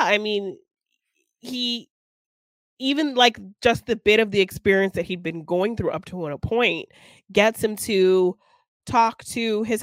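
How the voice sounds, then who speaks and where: A woman's voice: mid-range voice, no echo. A woman co-hosting a podcast, casually talking through a film in a hesitant, thinking-aloud way. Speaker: podcast co-host.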